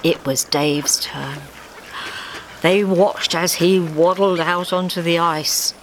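A woman's voice: on the low side, steady tone, slow pace, poor audio. A woman narrating a scripted story expressively, recorded live in a theatre. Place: small theatre stage before an audience. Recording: live theatre recording with faint background noise.

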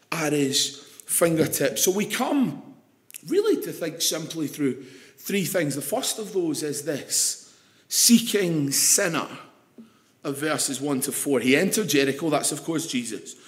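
A Scottish man preaching an evening sermon with a slightly croaky voice, chatty and putting questions to the congregation. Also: fairly high pitch, echoey room. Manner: conversational, asks the congregation questions.

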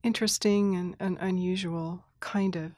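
A middle-aged woman, an interview guest who grew up in Thailand, speaking thoughtfully with restarts, soft laughs and throat clearing. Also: fairly low pitch, steady tone, moderate pace, no echo, studio quality. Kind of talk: reflective conversational interview.

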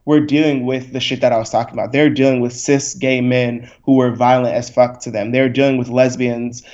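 A man's voice: mid-range voice, little pitch movement, a little fast, a little mellow. A young man speaking as a podcast guest, passionate, blunt and profane, often saying "like" and "right?". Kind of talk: candid podcast conversation. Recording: slightly muffled recording.

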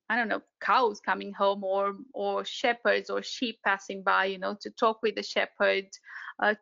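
A woman's voice: medium pitch, creaky, a bit bright, muffled recording. A woman with a Romanian accent chatting casually as an interview guest, searching for words as she goes. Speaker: podcast guest, tour operator.

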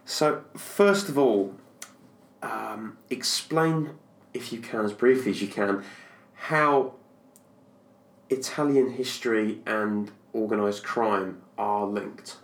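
Polite podcast host in echoey room. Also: male voice, lowish voice, takes it slowly. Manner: polite, encouraging. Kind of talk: podcast interview hosting.

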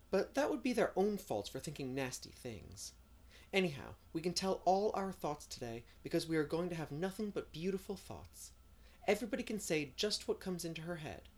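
A woman's voice: lowish voice, voice pushed up. A woman voicing a dreamy, talkative young woman in an audiobook, effusive and poetic in manner. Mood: delighted. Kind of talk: audiobook character reading.

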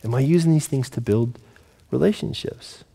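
A man's voice: medium pitch, moderate pace, gravelly, dark tone, slightly rough. A man in his forties speaking as a pastor, giving a sermon in an earnest, conversational way and putting questions to his listeners. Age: 40s.

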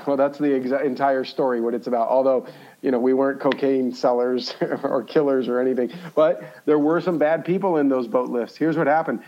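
A man's voice: a little high, a little mellow. A man, a guest on an interview show, telling personal stories conversationally with emphatic repetition. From born in Cuba, grew up in the United States. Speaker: podcast guest, entrepreneur. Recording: slightly dull, lo-fi sound.

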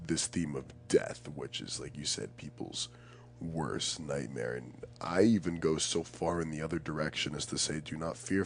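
A young man speaks as a guest in a casual, reflective conversation. His voice is extremely deep. He is earnest and emphatic, searching for words as he goes, over a faint background hum. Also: vocal fry. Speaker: podcast guest, poet.

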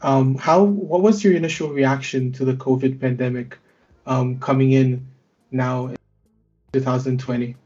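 A man's voice: mid-range voice, steady tone, normal speed, mellow, dull audio, faint music behind. A young man hosting a student podcast conversation, hesitant with many fillers and restarts. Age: young adult. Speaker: podcast host.

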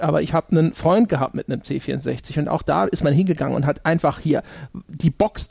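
Gravelly man in his forties. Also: a little high, a little mellow, dry recording, clean studio sound. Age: 40s. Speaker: podcast panelist, former film academy lecturer. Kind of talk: casual podcast discussion about video games.

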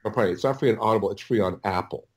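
Podcast guest: American male, middle-aged, slightly low pitch, loose halting storytelling with frequent self-corrections. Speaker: audiobook producer, podcast guest. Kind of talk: casual interview storytelling.

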